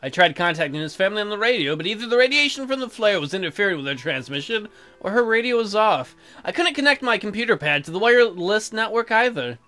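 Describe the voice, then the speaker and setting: An irreverent gamer reading a visual novel aloud with crude, animated joking commentary. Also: mid-range voice, fast talker. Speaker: let's player. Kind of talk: joking playthrough commentary and dramatic read-aloud.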